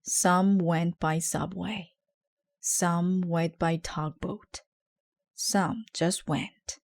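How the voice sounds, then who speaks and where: Female children's story reader, lowish pitch, very unhurried delivery, dry sound. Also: fairly even intonation, dry recording. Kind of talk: read-aloud children's story narration.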